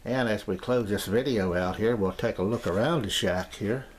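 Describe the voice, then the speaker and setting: A man's voice: on the low side, normal speed. An older man giving a casual, unscripted tour of his radio room, rambling and hesitant as he repeats himself. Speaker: amateur radio hobbyist giving a shack tour. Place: small home radio room, indoors. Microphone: handheld camera's built-in mic. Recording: handheld camcorder with clear background noise.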